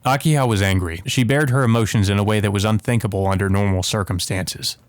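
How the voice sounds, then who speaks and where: Low-voiced man reading a game aloud. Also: fairly even intonation, fast talker, no echo. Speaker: let's play host. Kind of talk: reading visual novel narration aloud with casual asides. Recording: music playing behind the voice. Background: game music.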